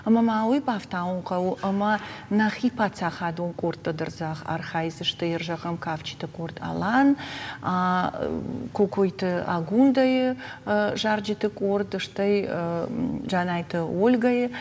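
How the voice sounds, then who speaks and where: A woman's voice: on the low side, measured pace, a little mellow. Ossetian-accented woman in an echoey room. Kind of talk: unscripted studio interview talk. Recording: echoey room, noisy background.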